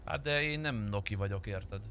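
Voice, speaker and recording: A man's voice: on the low side, fairly bright tone, slight background noise. A man chatting casually and roleplaying on a game stream, informal and slangy, swearing freely. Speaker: livestreamer playing a roleplay game.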